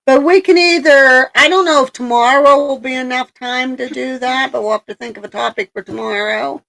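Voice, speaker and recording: A woman hosting a casual live stream, raspy-voiced after a coughing spell, rambling with lots of ums and likes. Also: sits high, moderate pace, a bit bright, rough recording. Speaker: streamer host.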